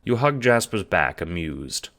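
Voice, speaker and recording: A man's voice: low voice, very animated, measured pace, a bit bright. A man narrating a scripted story in the second person, in the style of an audio drama or audiobook. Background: music.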